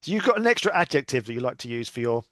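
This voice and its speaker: A man's voice: medium pitch, sing-song, very fast, fairly bright tone, dry recording, clean studio sound. A man chatting casually as a podcast guest, in a rambling, chatty way. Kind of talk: casual chat.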